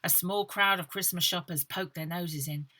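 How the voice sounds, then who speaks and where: Bright, sharp-voiced woman reading a story. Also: lowish voice, normal speed. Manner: dramatic, animated storytelling. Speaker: author reading own story. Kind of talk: expressive scripted narration of a children's novel.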